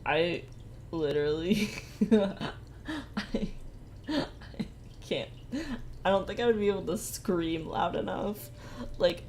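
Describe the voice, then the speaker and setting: Soft-spoken young woman streamer, slow. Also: fairly low pitch, a little hiss or hum. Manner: soft-spoken, laughs often, rambling. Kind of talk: casual livestream chat while drawing. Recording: faint music behind. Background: faint background music.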